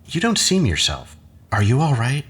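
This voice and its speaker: An actor playing a manipulative academic husband in an audio drama, measured, coaxing and patronizing. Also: male voice, medium pitch, huge pitch swings, slightly rough. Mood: coaxing, probing. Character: manipulative husband, an academic doctor, calmly condescending and persuasive.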